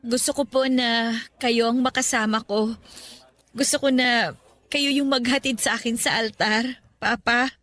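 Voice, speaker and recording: A woman's voice: on the high side, fairly even intonation, measured pace, long pauses, a bit bright. A woman playing an affectionate, respectful young adult daughter in a radio drama, with a Filipino accent. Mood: earnest. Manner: respectful, earnest, heartfelt. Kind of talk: radio drama acting in Tagalog. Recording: music bed behind the voice.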